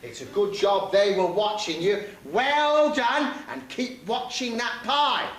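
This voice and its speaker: A man's voice: high-pitched, lively intonation, slow, a bit bright, full character voice. A man playing a bossy, greedy pantomime dame mother, coaxing and scolding in exaggerated stage style. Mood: mock indignation. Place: theatre or hall stage, live performance. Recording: very echoey hall, faint noise.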